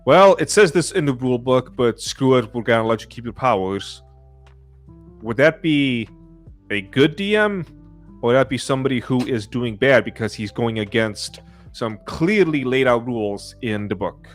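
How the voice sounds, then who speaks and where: A man's voice: mid-range voice, expressive, moderate pace, soft background music. A man in a casual podcast discussion, laying out hypothetical scenarios and putting questions to the others. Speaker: podcast panelist posing questions.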